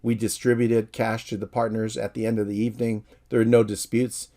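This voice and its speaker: Middle-aged American man, professor, course intro, low voice, mellow tone, calm and friendly. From San Francisco, USA. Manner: calm, friendly, measured explanation.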